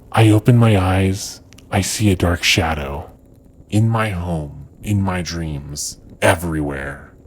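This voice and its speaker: Man playing a slow, deep, tormented madman. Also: slight background noise. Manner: halting, repetitive, ominous monologue. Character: tormented, deranged man haunted by a dark presence. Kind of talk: dramatized audio drama monologue.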